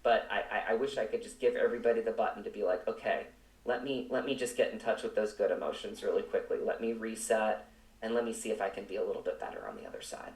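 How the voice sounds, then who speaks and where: A man speaking reflectively as an interview guest, earnest and halting, repeating words as he searches for phrasing. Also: fairly low pitch, darkish tone. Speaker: actor, podcast guest. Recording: roomy, echoing, distant from mic.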